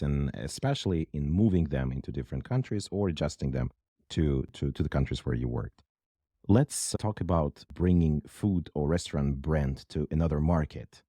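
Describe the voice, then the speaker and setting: Very deep-voiced male podcast host. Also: warm tone, dry recording, studio quality. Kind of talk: prepared podcast introduction and questions.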